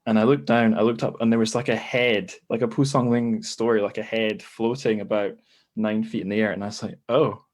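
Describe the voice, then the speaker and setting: A man's voice: fairly low pitch, fairly even intonation, fairly quick. A man hosting a casual podcast conversation, speaking informally in a rambling, self-correcting way. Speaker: podcast host. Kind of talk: casual chat.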